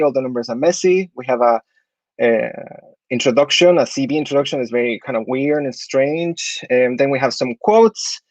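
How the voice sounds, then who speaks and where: A man's voice: mid-range voice, no room sound, lo-fi sound. A man in his thirties from Chile, an architect giving portfolio tips in an online talk, hesitant, with many fillers and restarts. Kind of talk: online talk with slide review.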